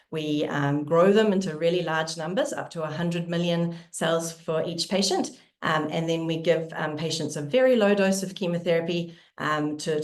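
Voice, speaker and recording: NZ woman research lead, low and steady, explaining step by step, echoey video-call sound. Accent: New Zealand. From New Zealand. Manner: clear explanatory, with frequent ums. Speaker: research team leader presenting. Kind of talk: webinar science presentation.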